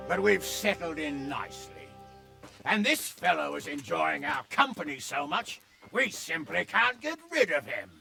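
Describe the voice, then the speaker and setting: Gravelly, swooping sardonic nobleman. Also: high voice, pushed and bright, full character voice. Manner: sardonic, hearty. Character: wry, boisterous medieval nobleman and commander. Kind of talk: dramatic video game acting.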